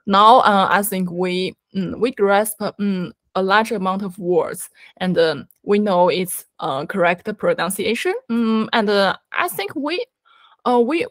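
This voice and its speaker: A woman with a Chinese accent speaking English in a casual conversation, halting with many ums and restarts. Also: medium pitch, no echo. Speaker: guest learner.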